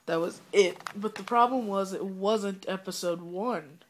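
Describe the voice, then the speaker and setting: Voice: mid-range voice, unhurried, a little hiss or hum. A young fan reviewer talks through a cold, with coughs breaking in. He rambles casually and fills the gaps with "uh" and "like". His tone stays disappointed the whole way. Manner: rambling, disappointed, many fillers. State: sick, coughing.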